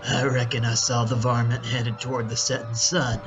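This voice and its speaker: A man's voice: mid-range voice, flat delivery, normal speed, dull audio, background noise, close to an everyday voice. A man playing a folksy old-west cowboy in a comic audio drama. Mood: helpful. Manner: folksy frontier talk.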